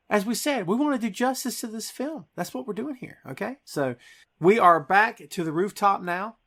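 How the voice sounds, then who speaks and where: A man's voice: on the high side, fairly bright tone. Enthusiastic man recapping a film. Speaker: podcast host.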